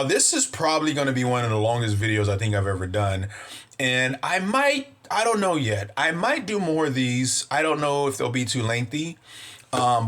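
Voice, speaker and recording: A man's voice: mid-range voice, a bit bright. A man hosting his own vlog, chatting casually and upbeat with his viewers, rambling and often saying "you know". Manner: upbeat, thankful to his audience. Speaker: vlog host.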